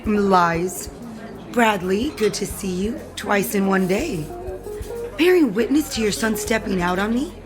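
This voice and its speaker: A woman acts a bold, jealous schemer in an audio soap opera. She draws out her cutting words very slowly, teasing, with music clearly behind her. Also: medium pitch, animated, close to an everyday voice. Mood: mocking, accusing. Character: bold, barbed, jealous female power player. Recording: studio drama with music underneath.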